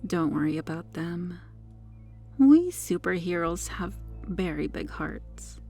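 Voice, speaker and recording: Female actor as possessive superheroine kidnapper for ASMR roleplay: dark, relaxed tone with vocal fry, coaxing, sweetly menacing. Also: lowish voice, slow, faint music behind, full character voice. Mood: tender, possessive. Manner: coy, smug, coaxing, lingering over words. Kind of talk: ASMR roleplay, intimate soft delivery.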